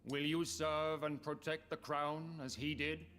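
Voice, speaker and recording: An actor playing an ancient aristocratic British vampire lord in a video game, speaking in a formal, measured, grandiloquent manner. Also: male voice, a little high, level tone, fairly bright tone, soft background music. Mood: ceremonial. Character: ancient aristocratic male vampire lord, founder of a secret club. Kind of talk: scripted video game dialogue.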